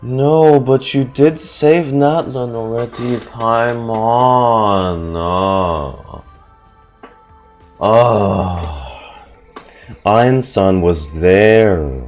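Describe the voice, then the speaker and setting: A man with a very low voice gives casual game commentary at a slow pace. He is sarcastic and sweary, with faint game music behind him. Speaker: streamer.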